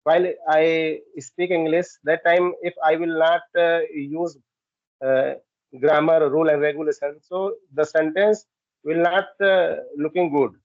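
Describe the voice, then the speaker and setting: Halting Indian man on a dull call line. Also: fairly high pitch, steady tone, no echo. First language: Hindi. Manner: halting beginner English, many fillers. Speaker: English learner. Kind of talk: casual practice conversation. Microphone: app call. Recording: dull, dry call audio.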